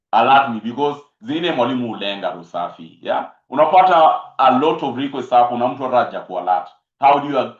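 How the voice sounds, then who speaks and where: A man with a Kenyan accent mentoring accounting students in a live online talk, mixing English with Swahili and often pausing on fillers and repeated phrases. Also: mid-range voice. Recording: roomy, dull lo-fi stream audio.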